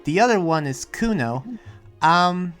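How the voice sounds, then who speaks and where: A man playing a friendly, earnest townsman in an improvised anime game roleplay, explaining himself with hesitant, rambling pauses, with music behind him. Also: high voice, expressive, fairly bright tone. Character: friendly, earnest demon-sheltering townsman who senses lies.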